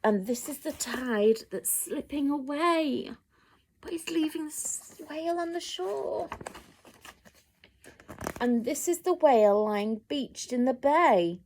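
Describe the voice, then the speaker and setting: A woman reading a picture book aloud to children, with expressive, dramatic emphasis and direct asides to young listeners. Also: high voice, takes it slowly, a little hiss or hum.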